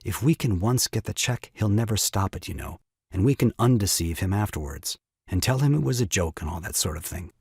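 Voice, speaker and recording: An actor playing an excitable, scheming young father and ingratiating nephew in an audio farce, flustered and wheedling. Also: on the low side, a little fast, creaky, husky, no echo, clean studio sound, exaggerated voice. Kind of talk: stage farce audio drama acting.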